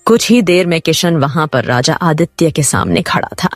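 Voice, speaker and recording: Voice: medium pitch, expressive, normal speed, dry recording, soft background music. A narrator reading a scripted story in a dramatic storytelling style.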